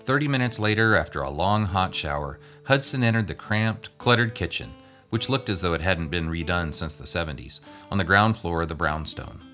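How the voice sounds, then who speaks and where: Male narrator for a scripted story, deep voice, light plucked-string music behind. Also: animated, moderate pace, bright, forceful tone. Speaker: guest reader.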